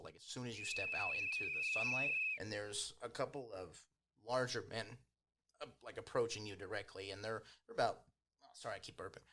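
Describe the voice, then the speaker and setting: A man's voice: fairly low pitch, bright, forceful tone. A man working as game master, casually improvising the narration of a tabletop roleplaying game.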